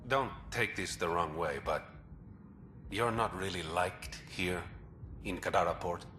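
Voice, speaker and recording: An actor playing a secretive man who brokers information in a port, confiding and smooth. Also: low-pitched, unhurried, bright, forceful tone, a little hiss or hum. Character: shadowy male underworld information broker.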